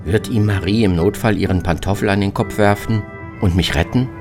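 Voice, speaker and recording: Low-pitched man narrating over music. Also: a little fast. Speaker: reporter narrating own radio travel feature. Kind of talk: scripted first-person travel narration. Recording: music behind the voice.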